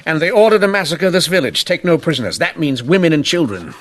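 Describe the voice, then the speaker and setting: A man acting as a shrewd 1890s police inspector in an old-time radio mystery. His delivery is lively, formal and sardonic, with wry edges. The old recording has audible noise. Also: fairly high pitch, fast, natural voice. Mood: grave, explaining.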